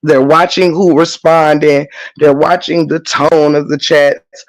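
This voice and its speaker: A hoarse, slightly congested man hosting a livestream, reading the chat and ranting emphatically, with lots of swearing and repetition. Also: on the high side, fairly even intonation, measured pace. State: hoarse, losing voice. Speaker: livestream host.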